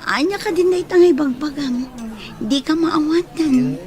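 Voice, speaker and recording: A woman's voice: high-pitched, moderate pace, mellow, natural voice. An actress playing a troubled, defensive young woman in love, in a radio drama. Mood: irritated.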